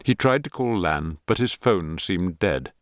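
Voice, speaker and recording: A man narrating a thriller audiobook in a measured, even reading on a close studio mic. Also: sits low, no echo.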